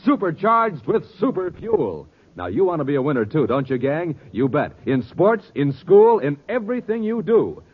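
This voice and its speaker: A man's voice: a little high, very animated, normal speed, hardly any pauses, husky. A man announcing in emphatic, dramatic 1950s radio style, with punchy salesmanship, on a muffled old recording. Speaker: announcer, narrator and ad pitchman.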